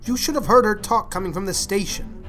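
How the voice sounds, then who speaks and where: A man playing a shy, gentle but stubborn older bachelor farmer in an audiobook, speaking in a hesitant, halting, mild way. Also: sits high, soft background music. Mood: gently persistent. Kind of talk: audiobook character acting.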